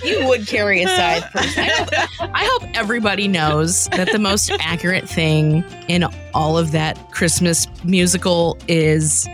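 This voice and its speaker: Animated, playful woman podcaster, unhurried. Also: lowish voice, music in the background. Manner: joking, giggly. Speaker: podcast host. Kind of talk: playful casual chat.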